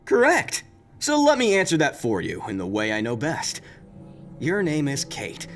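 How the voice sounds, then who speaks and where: A man's voice: fairly high pitch, wildly swooping pitch, moderate pace. An actor playing a blunt, earnest young male police detective in an audio drama. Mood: pressing, measured.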